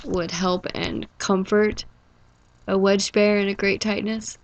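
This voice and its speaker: A woman reading the lines of a plump, gentle, slow bear from a storybook, in a soft voice. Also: medium pitch, little pitch movement, low-quality recording. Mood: plaintive.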